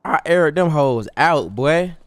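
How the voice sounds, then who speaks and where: A man livestreaming a roleplay game, commenting excitedly in boastful, slangy, profane casual talk. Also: a little high, takes it slowly, no echo. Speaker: live game streamer.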